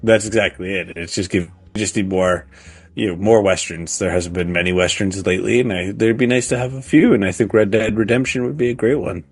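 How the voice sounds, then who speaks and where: A man with a deep voice co-hosts a casual gaming podcast. He talks in a halting, hesitant manner, piecing his thoughts together as he goes. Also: breathy edge. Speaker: podcast co-host. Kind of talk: casual chat about video games.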